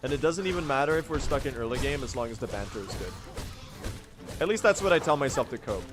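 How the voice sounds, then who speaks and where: A young man chatting casually to his stream while speedrunning, self-mocking and quick to laugh, his voice still recovering from strain. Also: medium pitch, lively intonation, music in the background.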